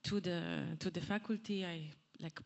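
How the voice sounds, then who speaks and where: A woman asking a question at a public event, speaking haltingly with many fillers and restarts. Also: lowish voice, fairly even intonation. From Poland. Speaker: audience member asking a question. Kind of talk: hesitant public remarks. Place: theatre hall at a public event.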